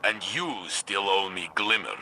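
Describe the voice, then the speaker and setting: Game veteran warrior: booming low, forceful, proud and teasing, halting emphatic delivery, noise behind. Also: male voice, lively intonation, exaggerated voice. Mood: jovial, proud. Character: gruff, teasing, proud veteran male warrior, jovially blunt. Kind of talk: video game cutscene dialogue.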